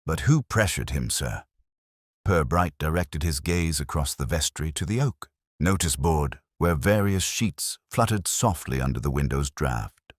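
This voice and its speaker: A man reading a detective story as scripted dramatic narration, in a measured, literary way. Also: very deep voice, dry recording, clean studio sound.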